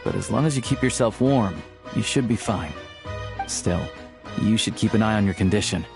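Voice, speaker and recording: A man's voice: lowish voice, moderate pace, music in the background, lightly acted. A man voicing an easygoing, friendly young hunter in a video game, with a casual, wry manner. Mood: friendly, advising.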